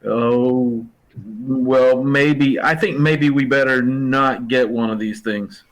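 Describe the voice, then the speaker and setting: A folksy shopkeeper, a man acting in a radio comedy, mid-pitched and plain-spoken. He is a mild, henpecked family man. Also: steady tone, slow pace, lightly acted. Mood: resigned. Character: mild, folksy small-store owner, henpecked father. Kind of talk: hobby radio play acting.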